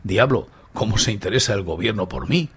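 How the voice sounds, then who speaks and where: Man acting a gentleman thief, suave, mocking, theatrical, rough-edged low voice, radio drama. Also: lively intonation, a little fast, exaggerated voice. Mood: mock-courteous, wry. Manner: theatrical, mocking, grandly courteous. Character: suave, playful, boastful male gentleman thief in prison.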